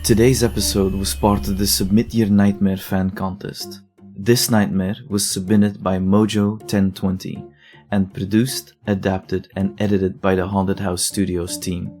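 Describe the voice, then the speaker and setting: Male actor as sombre investigator narrating horror case files: deep, flat delivery, measured and deadpan. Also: soft background music. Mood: matter-of-fact. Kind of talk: scripted horror audio drama narration.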